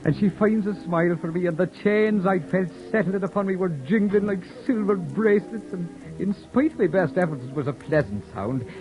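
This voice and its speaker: A man acting a proud, sharp-tongued young wanderer who narrates his own tale in a 1940s radio drama, with a wry, sardonic telling. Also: high-pitched, lively intonation, moderate pace, hardly any pauses, dull audio. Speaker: narrator and lead character. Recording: old radio broadcast with faint music.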